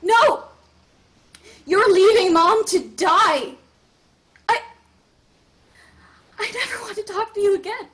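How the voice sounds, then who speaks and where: Brief: young girl, pleading and tearful, very high voice, woman reading. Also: takes it slowly, pauses often, a bit bright, full character voice. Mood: distraught. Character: young girl, frantic over her missing mother. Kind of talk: table read acting.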